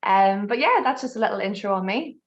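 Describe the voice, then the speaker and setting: A woman's voice: medium pitch, animated, a little fast, muffled recording. An Irish woman, a brand ambassador, presenting a tasting masterclass over a video call in a chatty, light-hearted way. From Ireland.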